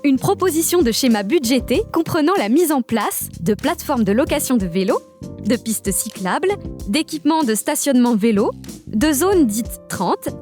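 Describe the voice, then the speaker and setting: A woman from a regional nature park staff, giving upbeat scripted narration for an animated explainer. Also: high-pitched. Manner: upbeat, informative, lists facts briskly.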